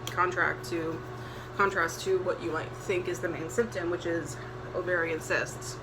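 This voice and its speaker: A young woman with a deep voice for a woman, chatting candidly and plainly while getting ready at home. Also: fairly even intonation, unhurried, background noise. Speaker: vlogger. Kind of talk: casual get-ready-with-me chat.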